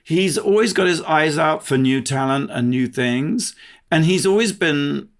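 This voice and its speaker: A man's voice: fairly high pitch, animated, unhurried. A man talking casually as a guest in an interview. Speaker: podcast guest, TV production company co-founder.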